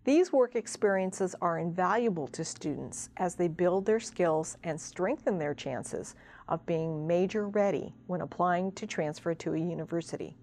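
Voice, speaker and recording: A woman's voice: lowish voice, expressive, normal speed, a little mellow, slight background noise. Woman reading scripted informational narration. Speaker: presenter of a college program segment.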